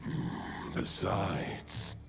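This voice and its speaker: An actor playing an ancient, solemn great wolf spirit in a video game, with a gravelly, breathy growl and grave, archaic, formal speech. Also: male voice, extremely slow, music in the background.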